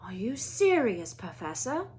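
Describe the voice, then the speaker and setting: A woman's voice: mid-range voice, unhurried. An eager, burly teenage boy, read by a woman, captain of his team in a storybook. He talks keenly. A little background noise is present. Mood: amazed. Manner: excited, keen.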